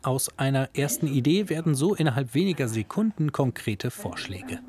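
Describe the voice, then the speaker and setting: A man's voice: mid-range voice, animated, normal speed, crowd noise. A man reading scripted news magazine narration in as the reporter.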